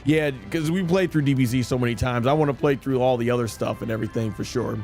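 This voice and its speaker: A man's voice: medium pitch, quick pace, darkish tone. Man rambling casually over background music. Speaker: live streamer and amateur voice actor. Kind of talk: casual gaming stream commentary.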